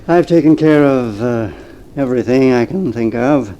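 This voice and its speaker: An actor in an old-time radio drama playing a timid, loyal assistant with a conscience, deferential and halting. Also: male voice, medium pitch, mellow, lo-fi sound, lightly acted. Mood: dutiful.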